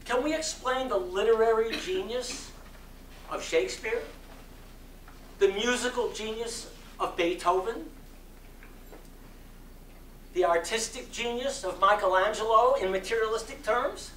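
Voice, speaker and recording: Guy doing a slow dramatic sermon reading, oratorical, roomy echo. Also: sits high, fairly bright tone. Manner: deliberate, oratorical phrasing. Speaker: speaker giving a dramatic reading. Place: community center hall. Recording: roomy echo, distant mic, faint noise.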